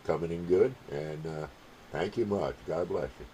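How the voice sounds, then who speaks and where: An extremely low-pitched man from talks in a folksy, rambling way, like a hobby radio operator chatting to friends. He pauses and hesitates as he goes. The handheld recording is noisy, with ambient sound behind him. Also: mellow. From United States. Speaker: amateur CB radio operator and vlogger. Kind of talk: casual vlog chat and radio contact calls.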